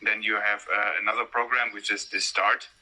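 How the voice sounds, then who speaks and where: A man's voice: on the low side, flat delivery, fairly quick, sharp tone, low-quality recording. A man hosting a live-stream talk with an art collector, speaking hesitantly with frequent fillers over a video call. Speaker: host of collector talks.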